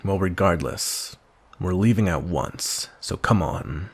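A man playing a grumpy, cold, commanding vampire knight in a roleplay ASMR, husky and gravelly, speaking in a stern, measured way. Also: sits low, lively intonation. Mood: grim, menacing.

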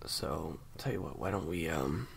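A man acting in an intimate audio roleplay as a caring, awkward handler who speaks softly and haltingly to an injured partner. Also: rumbling low, animated, vocal fry, slight background noise, full character voice. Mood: soothing, hesitant. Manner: hesitant, halting, soft-spoken. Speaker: voice actor.

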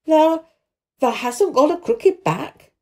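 A woman voicing a shaky, tactless old Yorkshire gardener man with a hoarse voice, in a storybook reading. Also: high-pitched, lively intonation, pitched above the performer's own voice, heavily acted. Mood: shaken disbelief. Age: older. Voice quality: hoarse, quavering. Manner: slow, halting dialect speech.

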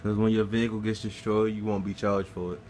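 Low-voiced dude narrating his gameplay, flat and laid-back, cusses a lot. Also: fast, a little hiss or hum. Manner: laid-back, swears casually, talks through his play. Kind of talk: casual game walkthrough commentary.

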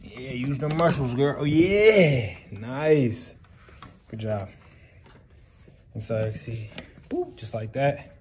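Man vlogging casually over clear background noise. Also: medium pitch, animated, slow, long pauses, a little mellow. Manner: playful, encouraging to family. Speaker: vlog host. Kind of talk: casual home vlog chat. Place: at home, indoors and out in the yard. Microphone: handheld phone. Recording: clearly noisy handheld phone recording.

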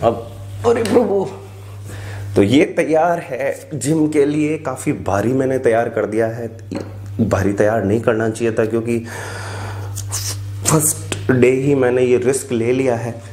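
चैटी युवा आदमी, अनबॉक्सिंग करते हुए. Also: male voice, medium pitch, unhurried, mellow. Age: young adult. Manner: chatty, many filler sounds, English words mixed into Hindi. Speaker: tech YouTuber unboxing gym gear. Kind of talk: casual unboxing vlog. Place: small indoor room with a tiled wall.

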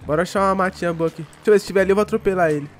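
A man talking in a casual way as he plays a roleplay game. He has a cold and throws in street slang and quick shouts. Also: high-pitched. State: congested with a cold. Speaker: roleplay streamer. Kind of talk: casual gameplay chat. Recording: music playing behind the voice.

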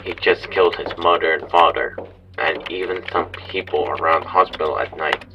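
Distant and noisy, this is a man acting as an anxious male storyteller in a horror audio drama. His voice is low, halting and weary, with grim earnestness. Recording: distant, muffled, noisy with keyboard clatter.

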